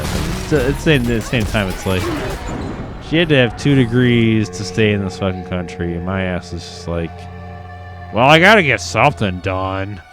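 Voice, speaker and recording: A man with a Midwestern American accent, a bit drunk, rambling and reflective as he hosts a casual gaming chat, swearing casually. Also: on the low side. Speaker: gaming podcast host.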